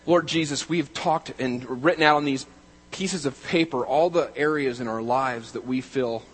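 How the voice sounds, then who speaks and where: A man's voice: mid-range voice, moderate pace, husky. Man preaching with emphatic build-ups. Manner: emphatic, rising to loud declarations then dropping quiet. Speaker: pastor. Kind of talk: sermon.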